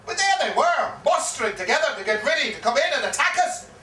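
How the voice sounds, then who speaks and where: Man acting animated, bright-voiced Irish old soldier spinning yarns. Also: very high-pitched, fast talker, exaggerated voice. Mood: dramatic, boastful. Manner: theatrical, dramatic storytelling with repeated phrases. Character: garrulous male old soldier spinning a desert war yarn. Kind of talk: live stage performance of a comic one-act play. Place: live performance in a pub. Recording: echoey, distant live pub recording, faint noise.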